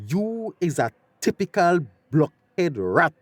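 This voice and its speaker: A man playing a bereaved, quick-tempered middle-aged father with a Tobagonian accent in a radio drama, speaking emphatically and repeating phrases. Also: high-pitched, big pitch swings, no room sound, exaggerated voice. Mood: stern.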